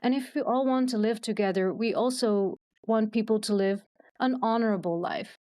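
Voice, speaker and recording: A woman from the Netherlands, speaking earnestly and in measured phrases as an interview guest. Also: fairly high pitch, a little mellow. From Netherlands, Amsterdam. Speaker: guest, politician and children's doctor. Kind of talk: interview answers, earnest personal account.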